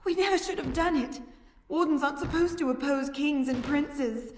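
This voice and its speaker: Woman as a high-voiced despairing soldier. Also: normal speed, darkish tone, far from the mic, close to an everyday voice. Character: despairing female warden soldier, regretful and resigned. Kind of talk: fantasy video game acting. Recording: echoey game scene with background noise.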